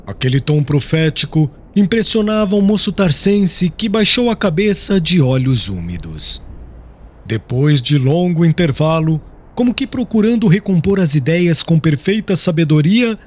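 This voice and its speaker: A man narrating an audiobook in a reverent, expressive reading. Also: a little high, a little slow. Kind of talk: scripted narration. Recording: background music.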